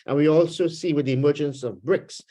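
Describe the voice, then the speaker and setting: A man with a Trinidadian accent speaking as a webinar panelist, measured and thoughtful, pausing often with fillers over a video call. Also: fairly high pitch, dark tone. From Trinidad and Tobago roots. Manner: measured, pauses with many fillers. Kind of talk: panel discussion, political commentary. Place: home, Canada.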